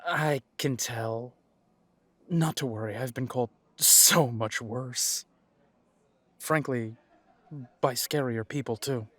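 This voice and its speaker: A man's voice: mid-range voice, slow, pauses often, a bit bright, no echo. Man playing a wry, unruffled pack alpha. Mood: dry, sarcastic. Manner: dry, sarcastic, hesitant when weary. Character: wry, tired male werewolf pack alpha, unruffled and protective. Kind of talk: audio drama acting.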